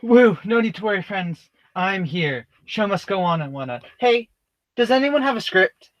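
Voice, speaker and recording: High-voiced, cocky man as an eager amateur actor. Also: lively intonation, normal speed, no room sound, lo-fi sound. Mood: cocky, excited. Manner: breezy, self-satisfied. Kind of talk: broad stage comedy acting.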